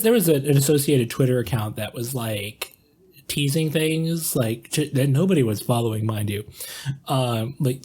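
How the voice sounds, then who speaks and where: Male co-host, 30s, mid-pitched husky voice, relaxed conversational delivery. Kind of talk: casual discussion.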